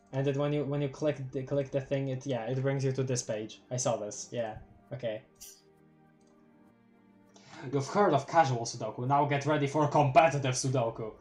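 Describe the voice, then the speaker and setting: Giggly Polish-accented streamer reading aloud. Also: medium pitch. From Poland. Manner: giggly and excitable, reading aloud with stumbles over long words. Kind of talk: casual live-stream reading a webcomic aloud.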